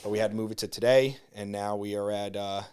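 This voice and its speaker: A man's voice: fairly low pitch, fairly even intonation, fast talker, darkish tone, clean tone. A man in his thirties hosting a casual podcast in a small studio, enthusiastic and full of superlatives. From New Jersey shore. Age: 30s. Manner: hyped, enthusiastic, talks in superlatives. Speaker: podcast host.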